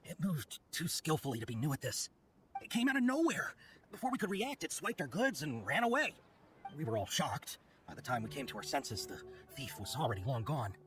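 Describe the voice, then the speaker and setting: A man voicing a flustered, apologetic caravan merchant in a video game, hesitant and animated in his delivery. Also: a little high, brisk. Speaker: voice actor in game dialogue. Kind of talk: scripted video game voice acting. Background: game background music.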